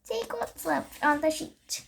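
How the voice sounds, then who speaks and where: A woman's voice: high-pitched, lively intonation, slow pace, vocal fry, full character voice. A young girl voicing a helpful, obliging lady behind a bakery counter in a storybook. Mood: generous.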